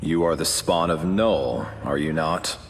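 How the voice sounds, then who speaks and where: A man's voice: rumbling low, measured pace, background noise. A man voicing a solemn cosmic champion in a video game, with formal, lofty, grandiose phrasing. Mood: earnest. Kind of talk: video game character acting. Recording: long reverb, music behind.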